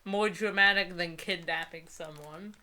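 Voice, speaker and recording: A woman's voice: lowish voice, fairly even intonation, measured pace, bright, forceful tone. A woman co-hosting a comedy book reading, giggly and sarcastic, moving between casual banter and mock dramatic reading.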